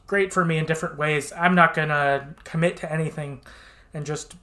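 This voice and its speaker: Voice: medium pitch, fairly even intonation, normal speed, sharp tone. A podcast host talking casually and informally, retelling a story in a conversational way with plenty of hedging.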